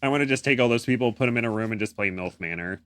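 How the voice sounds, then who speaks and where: A man's voice: mid-range voice, rapid-fire, fairly bright tone, no room sound. A man co-hosting a podcast, chatting casually and reacting with playful, joking interjections. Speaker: podcast co-host.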